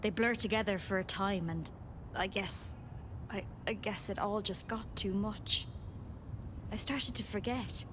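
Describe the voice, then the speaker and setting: An actress playing a gentle, shaken healer in a video game, speaking haltingly and wistfully as if confused. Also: female voice, mid-range voice, background noise, lightly acted. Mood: wistful, weary.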